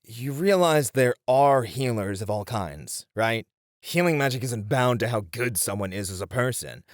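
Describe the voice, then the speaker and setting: An earnest, sensible warrior, acted by a man in a fantasy roleplay. He speaks in a mid-pitched, very expressive voice, measured and a little exasperated, recorded dry and close. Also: no echo, close to an everyday voice. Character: earnest, sensible, plain nice male warrior adventurer. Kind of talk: fantasy audio roleplay acting.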